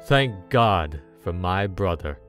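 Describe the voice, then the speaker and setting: A hearty, confident brother from a dark storybook tale, acted out by a male narrator. He speaks up boldly with breezy amusement, over faint music. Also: sits low, expressive, slow, exaggerated voice. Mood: relieved, hearty. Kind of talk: dramatic story reading.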